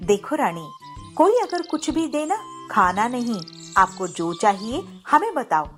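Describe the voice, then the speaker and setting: A woman plays a caring storybook mother, protective and gently instructing. The voice is high and lively, with music clearly behind it. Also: unhurried.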